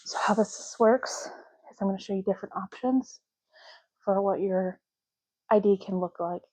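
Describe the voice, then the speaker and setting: A woman speaking softly in an ASMR roleplay, hushed, unhurried and gently explanatory, close to the microphone. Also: medium pitch, no echo, poor audio. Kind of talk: soft-spoken ASMR roleplay.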